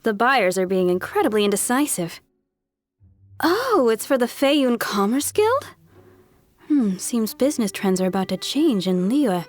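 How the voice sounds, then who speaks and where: A woman's voice: fairly high pitch, big pitch swings, measured pace, no room sound. A woman voicing a polite, opportunistic shopkeeper in a video game, with a businesslike manner. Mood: hesitant, calculating.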